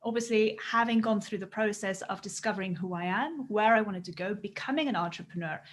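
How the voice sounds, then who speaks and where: A woman's voice: mid-range voice, brisk, a little hiss or hum. South African woman, earnest business coach. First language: Afrikaans. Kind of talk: casual interview chat.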